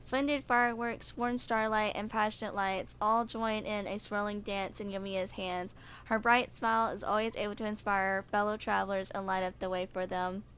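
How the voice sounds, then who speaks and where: A woman's voice: medium pitch, fairly bright tone, clear, resonant tone. A woman in her twenties reading and chatting casually as a video creator in a home recording. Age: 20s. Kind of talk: casual reading aloud and chat for a fan video. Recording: home recording with slight background noise.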